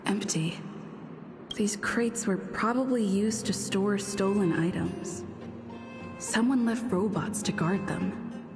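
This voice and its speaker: A woman playing a composed young adventurer thinking aloud in a video game, measured and matter-of-fact. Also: mid-range voice. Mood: calm, reflective. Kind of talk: video game character dialogue. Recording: music behind the voice. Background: game background music.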